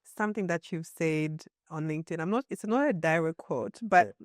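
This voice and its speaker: A woman's voice: deep voice, normal speed, no room sound, clean studio sound. A woman from Zambia hosting an interview podcast, friendly, with many short affirmations. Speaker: podcast host. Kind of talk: casual interview talk.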